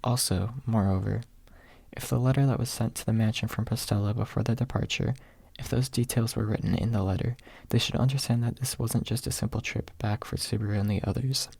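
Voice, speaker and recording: A man reads a story as an ASMR audiobook narrator. His voice is husky with plenty of fry, and it sits close and dry in the ear. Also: fairly low pitch, steady tone, a little fast, darkish tone, no room sound. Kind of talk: ASMR audiobook reading, soft and close, whispery at times.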